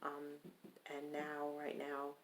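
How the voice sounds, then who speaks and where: A woman from California vlogging casually while speaking in a deliberately put-on accent, rambling and hesitant with many pauses. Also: sits low, completely flat delivery, a little hiss or hum. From Stockton, California. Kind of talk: casual video chat.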